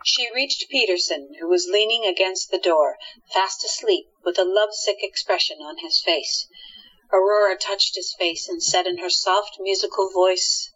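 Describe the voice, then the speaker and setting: Woman narrating a radio play, slightly low husky voice, bit dull lo-fi sound. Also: normal speed, fairly bright tone. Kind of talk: scripted audio drama narration.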